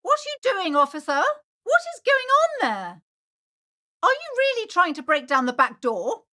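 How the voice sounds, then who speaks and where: Prim, high-voiced, swooping female shopkeeper. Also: wildly swooping pitch, normal speed, pushed and bright, exaggerated voice. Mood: anxious, pleading. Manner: fussy, formal, theatrical. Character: prim, anxious, superstitious female bookshop keeper, haughty yet polite. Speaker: video game voice actor. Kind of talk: acted video game dialogue.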